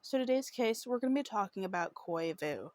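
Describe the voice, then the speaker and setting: A woman hosting a true crime podcast with a slightly rough voice, narrating a case from notes in an apologetic way and often tripping over her words. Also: medium pitch, animated, a little fast, darkish tone. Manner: apologetic, trips over words, many fillers.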